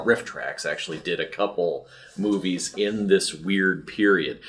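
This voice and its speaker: Male podcast co-host, low register, casual film talk, enthusiastic and given to nerdy digressions. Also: fairly bright tone.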